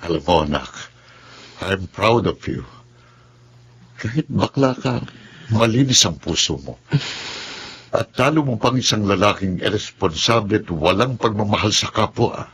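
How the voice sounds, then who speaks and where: A man's voice: fairly low pitch, lively intonation, unhurried, long pauses, a bit bright, breathy edge, full character voice. An actor playing a proud, understanding older father with a Filipino accent, in a reassuring radio drama delivery. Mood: proud, gentle. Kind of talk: Tagalog radio drama acting.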